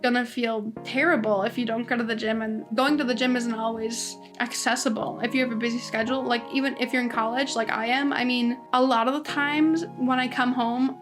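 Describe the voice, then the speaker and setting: A woman's voice: a little high, steady tone, a little fast, few pauses, over music. A woman in her twenties hosting a podcast alone, talking casually and rambling with lots of "like"s and restarts. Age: 20s. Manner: chatty, rambling, many "like"s and restarts. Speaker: podcast host. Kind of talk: casual solo podcast monologue. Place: indoors at home.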